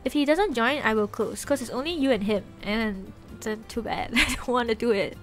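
Young woman, Singaporean English accent, slightly high voice, giggly, rambling live-stream chat, desk mic in bedroom, faint music behind. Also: a little fast. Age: young adult. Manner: chatty and giggly, trailing off and restarting. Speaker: Twitch streamer. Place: small bedroom, indoors. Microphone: desk microphone with headset.

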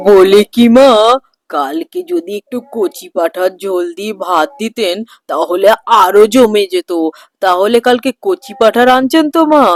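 A woman voicing a gluttonous, flattering young son-in-law in a cartoon, gushing and wheedling. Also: a little high, dry recording, studio quality. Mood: delighted. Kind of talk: cartoon voice acting.

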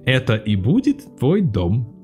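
A man's voice: mid-range voice, wildly swooping pitch, takes it slowly, a little mellow, soft background music. A man playing a middle-aged shop director, bossy and businesslike.

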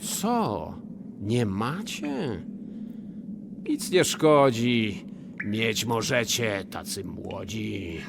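An actor playing a scheming, miserly old notary in a verse radio play, wheedling and falsely sweet. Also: male voice, lowish voice, sing-song, very slow, gravelly, background noise, exaggerated voice. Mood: calculating.

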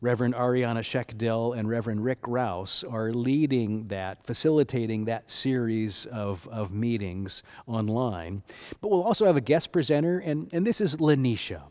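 A man in his sixties, a pastor giving church announcements in a sanctuary, talking lively with restarts. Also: mid-range voice, normal speed.

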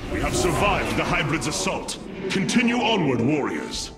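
Solemn alien warrior leader, animated pitch. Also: male voice, normal speed. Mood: firm, commanding. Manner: formal, solemn, commanding diction. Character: noble young alien warrior leader, resolute and formal. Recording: dramatic music behind the voice.

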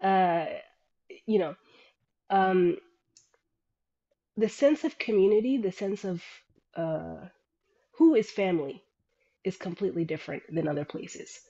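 A woman in her thirties speaking as an interview guest on a video call, thoughtful and halting, with many restarts. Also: medium pitch, lively intonation, warm tone. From Sudanese parents, grew up in America. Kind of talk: reflective interview answers. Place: small room indoors. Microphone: earbuds on a video call. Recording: dull lo-fi call audio.

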